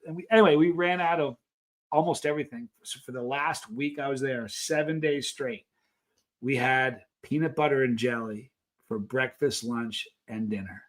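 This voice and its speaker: A middle-aged man hosting a live stream, chatting casually and telling anecdotes in an animated way, acting out the things people said. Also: mid-range voice, normal speed. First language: English. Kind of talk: casual chat answering live viewers, telling travel anecdotes.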